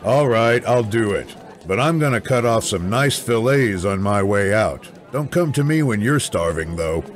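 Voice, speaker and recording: An actor playing an irreverent, sardonic scout in a fantasy audio drama, talking in a flippant, dry manner. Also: male voice, fairly low pitch, animated, normal speed, a crowd in the background, natural voice. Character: irreverent, sardonic, rough-edged male scout.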